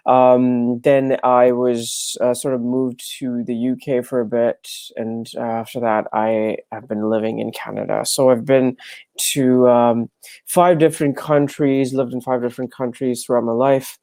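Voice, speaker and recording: Young guy from dubai and pakistan on a video call, mellow voice, dry sound, lots of ums. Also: medium pitch, little pitch movement, unhurried, no room sound. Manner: hesitant, many fillers and restarts. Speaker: startup founder, guest. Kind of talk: casual video interview. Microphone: wired earphones on video call.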